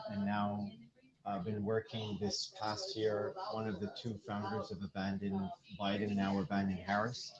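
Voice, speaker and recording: A man speaking as a guest on a live stream, earnest and declarative. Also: lowish voice. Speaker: guest campaign founder. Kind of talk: remote interview. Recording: dull, muffled remote feed with crowd noise.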